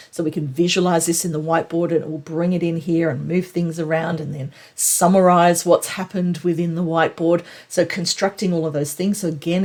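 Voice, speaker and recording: Female tech presenter, low register with a husky edge, conversational and explanatory, emphasizing key points. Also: darkish tone. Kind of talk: explanatory product news recap to camera.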